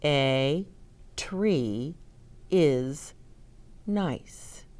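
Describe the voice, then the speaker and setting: A woman hosts a children's story time in a slightly low voice that swings widely up and down. She takes her time, speaking slowly and expressively as she reads aloud. She explains things in simple words and puts questions to her young listeners. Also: lively intonation, darkish tone.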